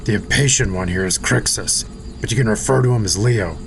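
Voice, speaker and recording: An actor playing a patient, level-headed soldier and mentor in an audio drama. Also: male voice, on the low side, a little fast, vocal fry, faint music behind. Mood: calm.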